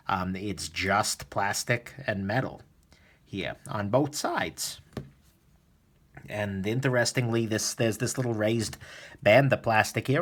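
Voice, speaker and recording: A man hosting a casual knife disassembly video, chatty and relaxed with lots of fillers, a little sniffly from a cold. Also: lowish voice. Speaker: knife reviewer, host. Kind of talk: casual tutorial narration.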